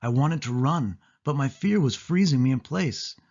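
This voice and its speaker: A man reads a spooky storybook aloud in the first person. His pitch sits in an average male range, and his delivery is expressive and suspenseful. The recording is dull and a little lo-fi. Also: moderate pace. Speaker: audiobook narrator.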